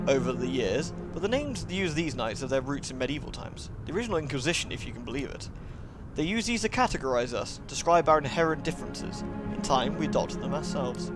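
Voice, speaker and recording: A man voicing a refined, courteous vampire woman in a visual novel, measured and eloquent. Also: medium pitch, natural voice.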